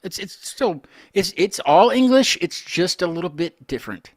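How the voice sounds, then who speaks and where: A man's voice: high-pitched, lively intonation, normal speed, dry recording. American man hosting, dry close sound. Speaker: interview show host. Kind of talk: casual chat.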